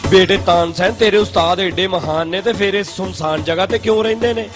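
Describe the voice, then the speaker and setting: A man's voice: sits high, fairly quick, lightly acted. A man with a Pakistani Punjabi accent voicing a bossy, sarcastic Mughal emperor in a comic cartoon dub. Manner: teasing, blustering. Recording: music under the voice.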